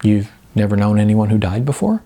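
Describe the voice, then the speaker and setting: A man reading a novel aloud as a calm, resigned friend of almost fifty, matter-of-fact and a little tentative. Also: lowish voice, brisk, gravelly, full character voice. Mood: reflective.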